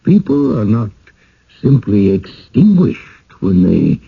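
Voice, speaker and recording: Through a narrow, phone-like old radio recording comes a courteous village host. He is very raspy and unhurried, with swooping intonation. He fusses politely and persuades gently, in radio drama style. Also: fairly low pitch, wildly swooping pitch, exaggerated voice. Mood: gentle, explaining. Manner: courteous, flustered, old-fashioned phrasing.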